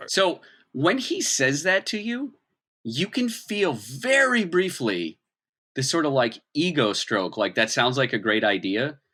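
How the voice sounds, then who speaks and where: A man's voice: mid-range voice, very animated, unhurried, sharp tone. A man narrating an improvised tabletop role-playing game as game master, in a conversational way and acting out reactions.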